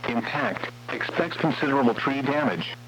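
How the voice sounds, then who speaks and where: A synthetic male voice reading scripted weather warning bulletins in a formal, flat manner as an automated radio announcer. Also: mid-range voice, normal speed, slightly rough. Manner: formal, flat synthetic text-to-speech delivery. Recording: muffled, noisy weather radio with hum.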